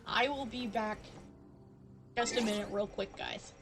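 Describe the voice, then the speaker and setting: A woman's voice: on the high side, measured pace, over music. A woman livestreamer chatting casually to viewers. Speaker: live streamer.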